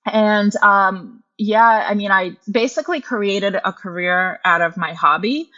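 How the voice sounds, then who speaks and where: A young adult woman from Russia, the guest on a podcast interview, talking through her story in a relaxed, earnest way with some fillers. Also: medium pitch, a little slow, highs cut off.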